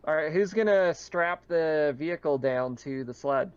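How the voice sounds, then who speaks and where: A man's voice: fairly high pitch, measured pace. A man acting as game master, narrating a tabletop game casually over slightly dull, muffled audio.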